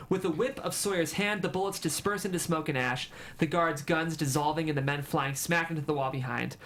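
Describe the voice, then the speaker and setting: A man's voice: on the high side, a little fast, fairly bright tone. A man reading stage directions as the narrator of a screenplay table read. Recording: faint room noise.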